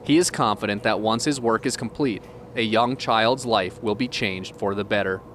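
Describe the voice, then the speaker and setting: A man's voice: on the low side, moderate pace, a bit bright, slight background noise. A man reading scripted narration for a local television news feature.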